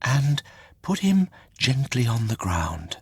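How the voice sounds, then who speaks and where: A man voicing a kindly fairy woman in a storybook, giving orders gently. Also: medium pitch, sing-song, slow, gravelly, slightly rough, dry recording, voice pushed up, heavily acted. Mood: commanding. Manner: gentle but commanding. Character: commanding, kindly blue-haired fairy woman.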